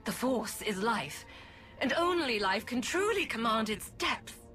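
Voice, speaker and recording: An actress playing a pompous, scornful Sith lord scientist in a video game, with elaborate, disdainful diction. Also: female voice, on the high side, very animated, a little slow, fairly bright tone, soft background music. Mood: disdainful lecturing. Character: haughty, theatrical female Sith lord villain, scornful scientist. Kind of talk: video game villain acting.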